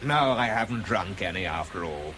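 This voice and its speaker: A man's voice: deep voice, animated, normal speed, pushed and bright, slight background noise, low-quality recording. An English actor playing a world-weary, bitter man who struggles with drink, narrating and speaking tersely in a radio drama. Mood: bitter, sardonic. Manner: dry, terse, understated.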